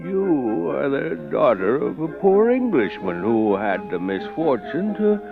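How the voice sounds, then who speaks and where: An actor playing a lazy, pompous, self-indulgent emperor who speaks with hesitant condescension in old-time radio drama style. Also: male voice, medium pitch, very animated, measured pace, creaky. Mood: smug, coaxing. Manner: hesitant, drawling, chuckling condescension. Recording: muffled old radio recording with music.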